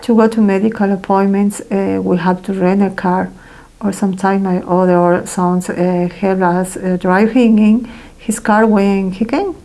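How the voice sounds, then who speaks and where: A woman reading a translated first-person testimony aloud as a voice-over, in a careful, halting way with pauses. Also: mid-range voice, fairly even intonation, warm tone, low-quality recording.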